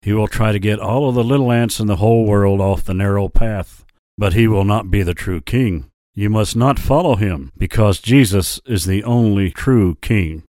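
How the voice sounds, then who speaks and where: Man doing a gentle, solemn storybook lion. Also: fairly low pitch, no echo, natural voice. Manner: slow, earnest warnings. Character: gentle, solemn male lion, big and kindly. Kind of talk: storybook character voice.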